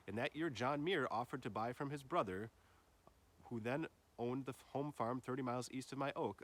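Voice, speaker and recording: A man narrates written nature prose in a voice of ordinary middle pitch. He reads in measured phrases, and his sentences drop off at their endings. It sounds like an essay being read aloud from the page. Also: fairly bright tone. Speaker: reader of an essay.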